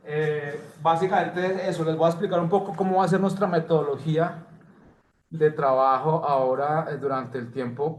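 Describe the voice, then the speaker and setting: A man teaching informally to a class, pausing to search for phrases and checking in with short questions, recorded at a distance in an echoey room. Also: fairly high pitch, slight background noise. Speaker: motion graphics teacher. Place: indoor classroom.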